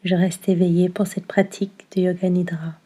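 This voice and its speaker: A woman teaching yoga nidra, guiding a meditation in a calm, soothing manner with long pauses between short instructions. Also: on the low side. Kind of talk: guided meditation, soft instruction.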